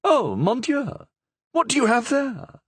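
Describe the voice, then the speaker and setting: A giddy, shrill young queen. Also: very animated, takes it slowly, breathy edge, dry recording, heavily acted. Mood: curious. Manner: shrill delighted exclamations.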